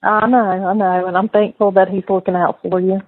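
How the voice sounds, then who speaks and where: A woman's voice: mid-range voice, little pitch movement, brisk, no echo. A middle-aged woman talking casually on a recorded phone call. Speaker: mother on a call to her son in jail. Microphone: telephone.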